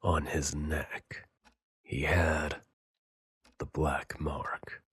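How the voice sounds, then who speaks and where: Man acting a husky, very deep-voiced gruff soldier. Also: flat delivery, extremely slow, fairly bright tone, no room sound, exaggerated voice. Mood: hushed, grim. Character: gruff, seasoned male anime soldier, grave and loyal. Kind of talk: anime audio drama acting.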